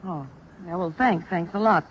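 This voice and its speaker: An actress playing a wary, slangy young vaudeville performer in an old-time radio drama, halting when flustered. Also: female voice, lowish voice, lightly acted. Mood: guarded, awkward. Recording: muffled, noisy vintage radio broadcast.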